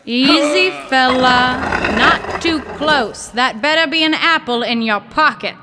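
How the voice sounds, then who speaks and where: High-voiced, plucky young heroine. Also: female voice, unhurried, heavy background noise. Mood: teasing, brisk. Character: bold, plucky young scientist's daughter, teasing adventuress. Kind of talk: old-time radio drama acting.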